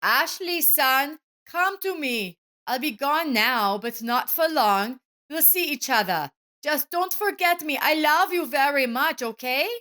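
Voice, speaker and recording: A loving, wounded soldier father, read by a woman speaks with a widely moving pitch. He is earnest and plain-spoken, a steady family man in an audio story. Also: unhurried, few pauses, exaggerated voice. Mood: tender, pleading.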